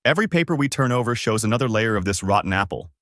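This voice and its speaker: A male narrator plays a grave investigator, a man denouncing corruption in a story. The voice is somewhat low, with a dry, close sound. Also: animated, racing, a bit bright, no echo. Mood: grave, indignant. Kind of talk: narrator reading story dialogue.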